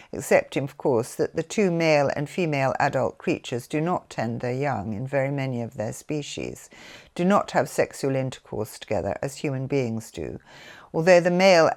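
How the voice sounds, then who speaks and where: A woman with an English accent giving an informal talk and reading from notes in an earnest, deliberate way with pauses. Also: low voice, darkish tone. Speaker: speaker.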